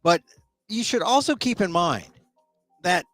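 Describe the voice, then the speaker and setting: Sniffly middle-aged guy ranting politics on his little show, bit high voice, faint music bed. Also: animated, measured pace, fairly bright tone, dry recording. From United States. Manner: opinionated, repeats words when starting sentences. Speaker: talk show host. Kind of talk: solo political commentary.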